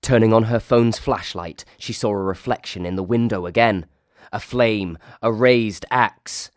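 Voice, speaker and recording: A man with a Northern English accent reading a comic ghost story aloud, lively, lifting into high voices for the quoted characters. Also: a little slow, no echo, studio quality. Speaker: reader.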